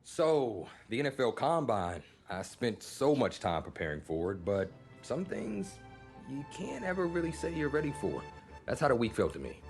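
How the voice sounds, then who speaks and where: A man playing a young, cocky college football quarterback in a video game drama, talking in a casual, slangy, expressive way. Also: mid-range voice, normal speed, soft background music. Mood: reflective. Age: young adult. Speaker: voice actor. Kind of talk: naturalistic sports video game drama acting.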